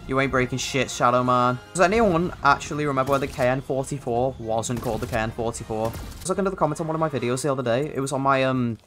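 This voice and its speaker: A man's voice: medium pitch, fast talker, hardly any pauses, fairly bright tone, faint music behind. A young man with a hybrid North London and Liverpool accent, giving chatty, rambling, slangy gameplay commentary. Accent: hybrid of North London and Liverpool English. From England (North London, grew up in Liverpool). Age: young adult. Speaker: gaming video creator.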